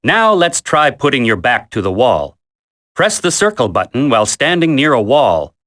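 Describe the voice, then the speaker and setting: An actor playing a military instructor in a video game tutorial, coaching a recruit in a firm, deliberate, commanding way. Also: male voice, medium pitch, big pitch swings, fairly bright tone. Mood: instructive, firm.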